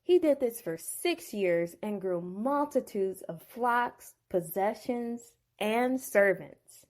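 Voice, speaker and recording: A woman's voice: medium pitch, lively intonation, slow pace, darkish tone. A woman casually retelling a bible story with playful, chatty asides.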